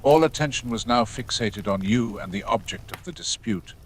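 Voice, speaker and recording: A man's voice: fairly low pitch, animated, moderate pace. A man reading scripted narration for an audio story. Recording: clear background ambience noise.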